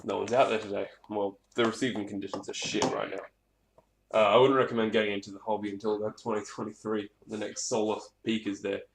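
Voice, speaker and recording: The recording is echoey, with clear noise behind the voice. A man with a fairly low voice talks casually through a hands-on demo as a hobbyist presenter. He rambles off the cuff and swears freely. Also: little pitch movement, fast. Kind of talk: casual hands-on gear demonstration. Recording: echoey room, clear background noise, clicks.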